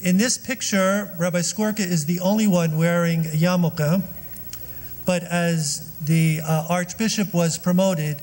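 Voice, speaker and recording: A man's voice: high-pitched, fairly even intonation, measured pace, darkish tone. A man introducing a guest speaker at a synagogue event, chatty and joking, with many fillers. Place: synagogue hall. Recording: long hall reverb.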